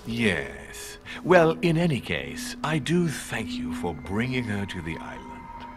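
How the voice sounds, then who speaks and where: A man playing a composed, calculating aristocratic host in a video game, speaking with gracious, measured authority. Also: on the low side, sing-song, faint music behind. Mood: calm, calculating. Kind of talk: dramatic video game voice acting.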